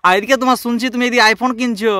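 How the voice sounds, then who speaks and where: A man's voice: very high-pitched, fairly even intonation, racing. A man playing a pushy, threatening bank loan collector on a prank call, badgering. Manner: badgering, insistent. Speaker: prank caller. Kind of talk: improvised prank phone call. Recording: noisy amateur phone-call recording.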